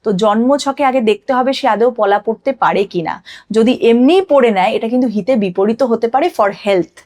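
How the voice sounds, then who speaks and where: A woman's voice: on the high side, normal speed, darkish tone. A woman explaining like an astrologer on a podcast, emphatically asking and answering her own questions and mixing in English phrases.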